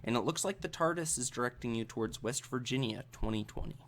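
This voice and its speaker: A man working as game master, improvising the narration of a tabletop role-playing game in a halting, thinking-aloud way. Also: mid-range voice, a bit bright, slight background noise.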